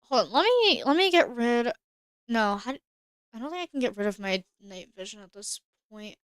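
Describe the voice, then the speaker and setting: Bright, chatty woman streaming a game. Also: a little high, animated, moderate pace, clear, resonant tone, dry recording, studio quality. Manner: chatty, thinks aloud, answers chat. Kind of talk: casual live-stream gameplay commentary.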